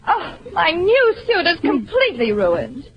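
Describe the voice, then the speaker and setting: A woman's voice: very high-pitched, huge pitch swings, unhurried, heavy background noise, exaggerated voice. An American woman playing a haughty, catty schoolteacher in vintage radio sitcom style, theatrical and affected. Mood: indignant, catty. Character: haughty, catty woman teacher, rival in love. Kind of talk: 1940s-50s American radio sitcom acting. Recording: muffled old radio broadcast.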